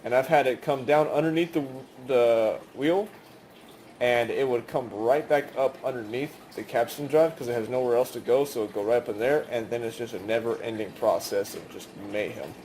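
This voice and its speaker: A man's voice: mid-range voice, normal speed, darkish tone, a little hiss or hum. A man giving a casual, rambling hands-on demonstration in a conversational way. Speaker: video maker demonstrating cassette tapes.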